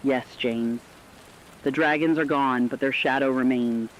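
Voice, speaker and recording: A man playing an earnest radio news co-anchor, giving grave, measured scripted reports on a disaster. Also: mid-range voice, fairly even intonation, lightly acted. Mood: earnest hope. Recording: dull lo-fi sound with faint noise.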